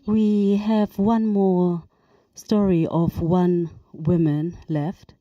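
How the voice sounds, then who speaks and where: A woman interpreting on a panel, with a measured, steady delivery. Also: lowish voice, no room sound, recorded from a distance, lo-fi sound.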